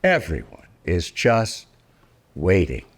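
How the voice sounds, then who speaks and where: An older man reading a rhyming children's book aloud in a theatrical, deliberate way, drawing out words for effect. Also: sits low, huge pitch swings, creaky, slightly rough. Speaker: storybook reader.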